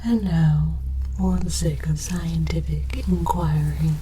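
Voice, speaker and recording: An alien archaeologist played by a woman whispers a mock-academic ASMR lecture, slow and deliberate. The delivery is formal, deadpan and soft-edged, with an earnest bemusement at human relics. A faint noise sits behind the close voice. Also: deep voice, rough recording. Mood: solemn, bemused. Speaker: host of an educational comedy show. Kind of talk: whispered ASMR scripted presentation.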